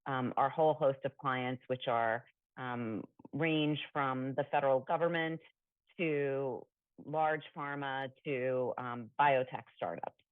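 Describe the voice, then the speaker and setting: A woman testifying as a company representative over a video call, businesslike and explanatory, with her voice going hoarse. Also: extremely low pitch, steady tone, slow, no room sound. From New Jersey. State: losing her voice. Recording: tinny dry phone-like call audio.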